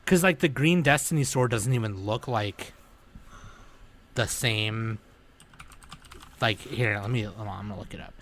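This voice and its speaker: Animated male podcast guest. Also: on the low side, measured pace, pauses often, a little hiss or hum. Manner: animated storyteller who slips into impressions. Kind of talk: casual podcast chat.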